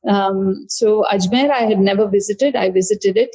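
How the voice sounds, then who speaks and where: A woman author, talking through her book in a thoughtful, halting way with many fillers and restarts. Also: mid-range voice, warm tone, rough recording. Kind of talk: conversational book discussion.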